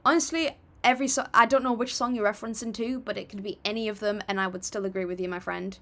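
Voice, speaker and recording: A woman's voice: mid-range voice, steady tone, fast talker, a bit bright. A young woman hosting a casual livestream, chatting and reading viewer comments aloud in an upbeat, apologetic way. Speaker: livestream music reactor.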